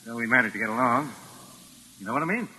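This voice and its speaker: A man's voice: mid-range voice, expressive, fast, sharp tone. A man acting a tough, bossy American gangster in a 1940s radio drama, with slangy, curt, menacing talk. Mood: smug menace. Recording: noisy vintage 1940s radio recording.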